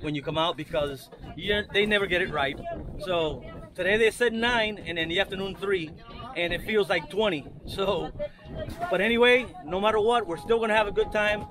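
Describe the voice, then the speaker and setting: A man's voice: high voice, moderate pace, a crowd in the background. A middle-aged man hosting a boating vlog, talking casually with many fillers and restarts. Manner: easygoing, rambling with fillers and restarts. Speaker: boating channel host. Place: open boat on windy water, outdoors. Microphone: action camera held close.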